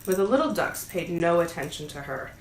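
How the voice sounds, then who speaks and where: A woman reads a bedtime story aloud in a slightly low voice. She is expressive and slips in playful asides, recorded in an echoey room with some background noise. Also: a little fast. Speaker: bookseller reading a bedtime story. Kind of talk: storybook read-aloud to a pet dog. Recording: roomy echo, audible background noise.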